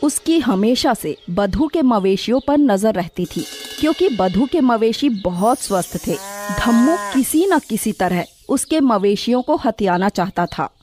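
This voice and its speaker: A woman's voice: on the high side, lively intonation, a little slow, darkish tone, soft background music. A woman narrator reading a scripted moral story for children.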